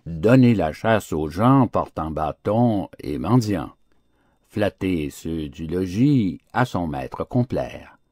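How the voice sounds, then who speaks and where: A man's voice: sits low, expressive, slow pace, dark tone, no echo, studio quality, exaggerated voice. A man voicing a big, well-fed storybook mastiff, complacent and persuasive, servile to his masters. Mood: smug, enticing.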